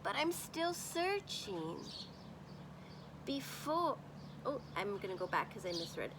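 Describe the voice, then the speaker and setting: A woman teacher reading a picture book aloud to children expressively, pausing to think aloud and pose questions. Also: fairly high pitch, very animated, noisy background.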